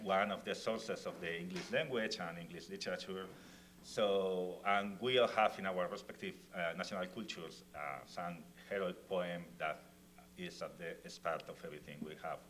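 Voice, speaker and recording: Low voiced spanish guy talking on a panel, lots of uhs and restarts, lapel mic. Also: a bit bright, a little hiss or hum. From Spain. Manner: halting, many fillers and restarts. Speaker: festival panelist, comics author. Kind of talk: panel talk on stage. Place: university hall, in front of students. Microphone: lavalier clip mic.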